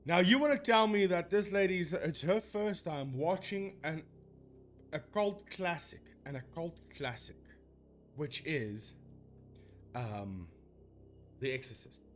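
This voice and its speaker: Animated man rambling on stream. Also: a little high, slow, long pauses, faint music behind. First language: Afrikaans. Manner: rambling, self-correcting, animated. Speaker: streamer debunking ghost videos. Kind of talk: casual live-stream commentary.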